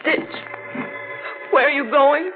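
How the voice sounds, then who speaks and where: A melancholy young woman in a 1940s radio drama, tender and tearful. Her voice sits high and earnest. The recording is muffled and vintage, with faint music behind. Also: takes it slowly. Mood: wistful, hurt. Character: sad young brunette woman, tender, forever crying.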